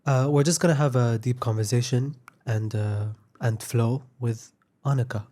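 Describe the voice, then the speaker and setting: A man's voice: mid-range voice, normal speed, very noisy. A man hosting a podcast, talking in a laid-back, loose, unscripted way during a casual conversation with his guest. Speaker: podcast host.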